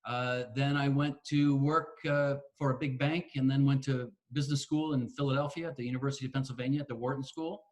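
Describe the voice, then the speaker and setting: An older man from Connecticut, a guest on a video-call interview, telling his story in a measured way from a spacious living room, with frequent restarts. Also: mid-range voice, steady tone. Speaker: guest, investor. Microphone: laptop webcam on video call.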